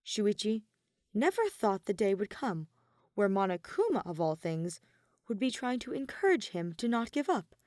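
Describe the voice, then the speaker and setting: A woman's voice: mid-range voice, very animated, normal speed, darkish tone, no room sound, clean studio sound. A woman reading scripted fiction aloud as an audiobook narrator.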